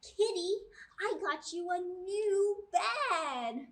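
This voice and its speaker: Woman voicing an eager, high-pitched little girl. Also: lively intonation, extremely slow, distant mic, full character voice. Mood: excited. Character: eager, pleading little girl cat owner.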